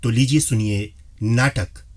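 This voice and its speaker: A male radio announcer speaks slowly in a husky, mid-pitched voice. His delivery is formal and scripted, as in a programme introduction.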